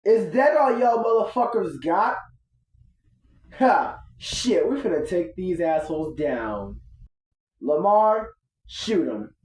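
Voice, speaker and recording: Man playing brash male crew leader, slangy, foul-mouthed, slow-paced, animated intonation, echoey audio drama recording. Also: high-pitched, heavily acted. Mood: brash, combative. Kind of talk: amateur audio drama dialogue.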